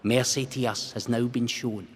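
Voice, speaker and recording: A Scottish man voicing a defiant condemned rebel weaver, reciting a ballad in slow, drawn-out rhythm. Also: mid-range voice, fairly even intonation, slight background noise, close to an everyday voice. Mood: rueful, resolute. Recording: long hall-like reverb.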